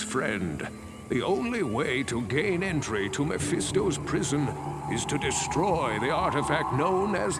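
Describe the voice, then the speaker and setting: Elderly wise lore-keeper, fantasy game: gravelly, deliberate, very expressive pitch, grave delivery over loud music. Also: male voice. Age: older. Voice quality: gravelly, creaky. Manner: slow, deliberate, portentous. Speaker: game character giving lore. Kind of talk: fantasy game narrative dialogue. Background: loud background music.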